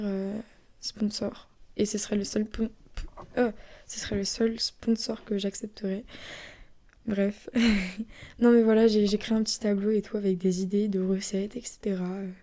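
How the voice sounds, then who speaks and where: A young woman from France chatting casually and rambling on her own podcast, often dropping into soft whispery asides. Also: medium pitch. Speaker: podcast host. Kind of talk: casual solo podcast chat.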